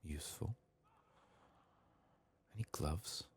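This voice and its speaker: A man quietly narrating a game playthrough in soft-spoken ASMR style, murmuring to himself and often dropping toward a whisper. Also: rumbling low, slow, a little hiss or hum. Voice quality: soft, hushed, breathy in whispers. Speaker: gaming streamer.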